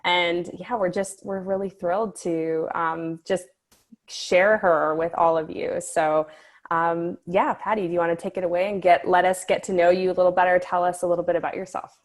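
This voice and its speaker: Low-voiced woman hosting a podcast. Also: steady tone, normal speed. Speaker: podcast host. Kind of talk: scripted welcome, then casual interviewing.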